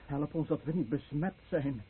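An actor playing a sceptical, wayward fisherman in a radio play, with a husky, rough voice. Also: a little high, moderate pace. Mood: fearful pleading. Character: sceptical, wayward fisherman given to fearful worship. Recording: phone-like old radio recording.

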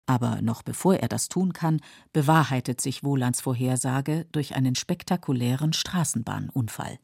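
A woman narrating a scripted literary documentary in a measured, explanatory way, with a husky, creaky voice. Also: extremely low pitch, lively intonation, a little mellow, no echo, clean studio sound. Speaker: narrator of literary radio feature.

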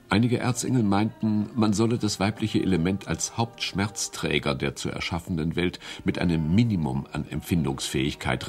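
A man's voice: rumbling low, full character voice. A man narrating a radio play with dry irony. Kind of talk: scripted narration.

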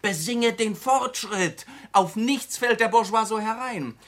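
A mocking, cynical journalist. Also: male voice, high-pitched, measured pace, a bit bright. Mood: cynical, instructing. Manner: mocking, lecturing, emphatic. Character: worldly, cynical male journalist coaching a younger colleague. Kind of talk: radio drama acting.

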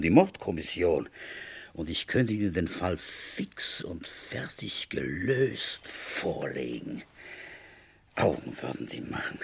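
A man playing an ailing, dogged police inspector in his fifties in a radio crime drama, weary and blunt, with a husky voice. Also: on the low side, full character voice. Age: 50s. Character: ailing, dogged French village police inspector, fifty-five. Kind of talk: German radio crime drama acting and first-person narration.

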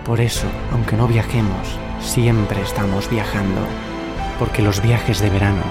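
An actor plays a dreamy, earnest young male poet in a radio drama. His voice is on the low side and reflective, with loud music underneath. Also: level tone, unhurried, lightly acted. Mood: earnest, poetic. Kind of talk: radio fiction acting.

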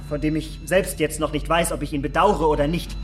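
Radio drama role: male actor as vain, cruel young gentleman, lightly high voice, measured and taunting, faint music behind. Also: fairly even intonation, close to an everyday voice. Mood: bitter, reflective. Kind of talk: German radio play acting.

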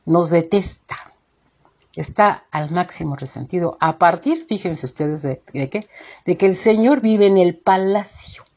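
A woman's voice: fairly low pitch, measured pace, a little mellow, muffled recording. A woman with a Mexican accent giving an indignant, emphatic opinion monologue as a show host, full of rhetorical questions. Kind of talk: informal opinion monologue to listeners.

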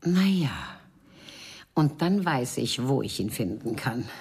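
Mature bourgeois madame for radio crime play: extremely low, husky, disdainful, sweeping dramatic intonation. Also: female voice, big pitch swings, takes it slowly, heavily acted. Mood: bitter, composed. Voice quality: husky, breathy. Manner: haughty, theatrical, swooping between indignation and hushed tones. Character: haughty mature Parisian bourgeois madame, disdainful, betrayed wife.

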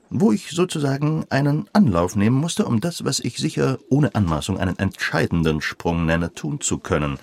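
A florid, down-at-heel gentleman in a radio drama. He is theatrical and self-important, forever optimistic, and his slightly low voice swings widely through grand, winding sentences. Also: slight background noise. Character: pompous, impecunious middle-aged gentleman, florid eternal optimist. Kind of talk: German radio drama acting.

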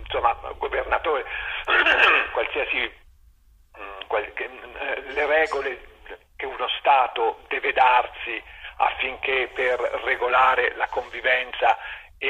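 An older man giving a reflective interview as a theatre director, halting often to search for words, heard as if over a telephone line. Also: medium pitch, bright, forceful tone, husky.

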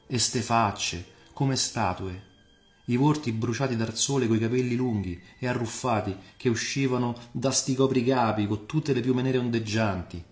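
A man's voice: medium pitch, moderate pace, natural voice. A man voicing a fervent, talkative Roman patriot in a story, with a Roman accent and vivid, emphatic colloquial storytelling. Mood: awed, excited. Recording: reverberant voice over music.

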